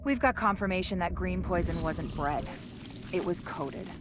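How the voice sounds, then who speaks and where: A woman voicing a wry, low-pitched virologist. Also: background noise, natural voice. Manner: brisk briefing with dry asides. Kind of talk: game cutscene voice acting. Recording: over game music.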